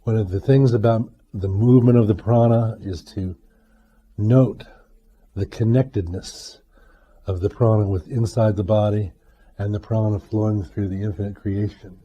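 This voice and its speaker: A man teaching guided meditation, speaking in a measured, deliberate way with pauses between short phrases. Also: fairly low pitch, fairly even intonation, husky, dull audio.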